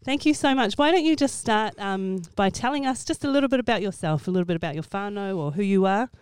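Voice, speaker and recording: A man's voice: high voice, animated, fast talker, clean tone, no room sound. A man hosting an interview podcast, upbeat and teasing his guest in a friendly way. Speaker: podcast host. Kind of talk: casual interview chat.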